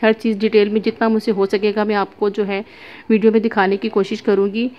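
A woman's voice: mid-range voice, flat delivery, fairly quick, highs cut off. A woman showing items in a crowded shop, chatting to viewers and calling out prices in a repetitive way. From Pakistan. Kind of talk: casual shopping walkthrough.

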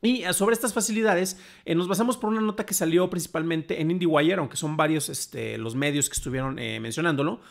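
A Mexican man with a high-pitched voice hosts a casual live show. He sounds about thirty-something, commenting in a colloquial, somewhat halting way. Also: gravelly. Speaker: host. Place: small home room, indoors.

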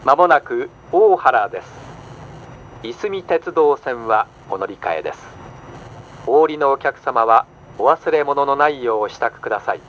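A man reading a scripted automated train announcement in a formal, measured way with careful enunciation. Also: mid-range voice, lively intonation, a bit bright. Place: played over a train's announcement system. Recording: muffled train speaker recording, cabin noise. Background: faint train running noise.

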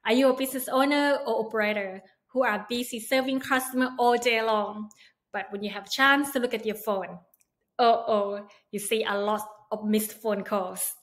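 A woman presents a product in a friendly, promotional way. Her voice sits a little high. She sounds like a company presenter recording herself at home. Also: fairly even intonation, moderate pace, a bit bright. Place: indoor home room.

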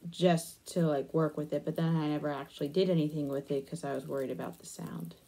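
Low, mellow young woman chatting casually. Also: fairly even intonation, fairly quick, vocal fry, slightly rough. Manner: absorbed, half talking to self. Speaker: crafting vlogger. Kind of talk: casual crochet demonstration chat. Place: small attic room, indoors.

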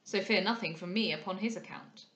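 Woman as an arch, teasing society gentleman, echoey room. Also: medium pitch, a little fast, muffled recording, close to an everyday voice. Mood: arch, dry. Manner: arch, mock-grave, formal. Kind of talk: dramatised audiobook reading of a period novel.

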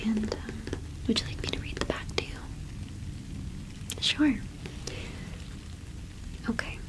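A woman in her twenties doing an ASMR roleplay as a cottage witch shopkeeper, speaking softly and unhurriedly with hesitant pauses, close to the microphone. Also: a little high, low-quality recording. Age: 20s. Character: friendly solitary cottage witch keeping a small shop.

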